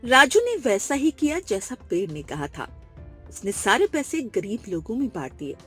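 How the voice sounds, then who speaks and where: Expressive, husky woman storyteller. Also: fairly high pitch, moderate pace, faint music behind. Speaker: story narrator. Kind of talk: scripted children's moral story narration.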